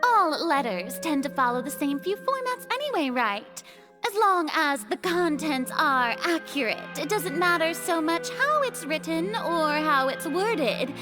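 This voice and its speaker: Squeaky little fairy girl. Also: big pitch swings, a little slow, music in the background. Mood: defensive. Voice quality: squeaky, childlike. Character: small excitable floating fairy girl companion. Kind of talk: video game cutscene acting.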